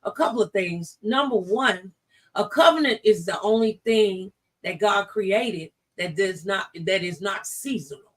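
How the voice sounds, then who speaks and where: A woman's voice: mid-range voice, measured pace, distant mic. A woman hosting a talk show, speaking conversationally with an exhorting, encouraging style.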